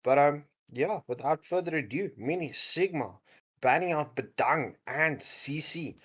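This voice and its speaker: A man's voice: fairly high pitch, expressive, unhurried, fairly bright tone, slightly rough. Chatty man casting esports. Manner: laughs often, joking banter. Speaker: esports caster. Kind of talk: casual esports commentary.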